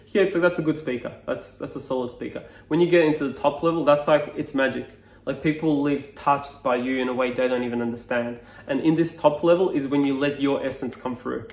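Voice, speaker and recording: Serbian man, flat roomy voice. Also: mid-range voice, creaky. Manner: reflective, loose, many fillers and restarts. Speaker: speaking coach. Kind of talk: casual explainer to camera.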